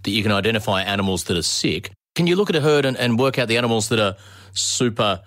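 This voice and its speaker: A man's voice: fairly low pitch, expressive, fast talker, a bit bright, no room sound. Curious male interviewer, dry sound. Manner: curious, prompting questions. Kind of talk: podcast interview.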